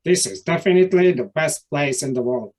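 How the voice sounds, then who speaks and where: A man's voice: a little high, brisk, a little mellow, close to an everyday voice. A man with a Czech accent voicing a confident storybook creature who loves swimming. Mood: certain. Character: sure, cheerful swimming creature Jack.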